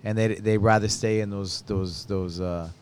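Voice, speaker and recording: A man's voice: on the low side, fairly even intonation, moderate pace, a little mellow, a little hiss or hum. A man hosting a casual chat, rambling and repeating words as he looks for his phrasing. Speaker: host.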